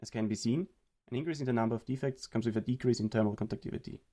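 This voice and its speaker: A young man from Austria giving a read-aloud presentation of a student research project in a steady explanatory way. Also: mid-range voice, very fast.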